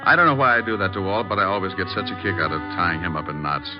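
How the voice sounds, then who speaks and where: A low-voiced, wisecracking detective with a swinging, animated delivery. He teases with mock seriousness in old-time radio drama style, on a dull vintage recording. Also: fast talker, over music. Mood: wry narrating. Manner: flippant, mock-serious, teasing. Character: wisecracking male private eye who loves teasing people. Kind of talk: 1940s-50s hardboiled comedic radio acting.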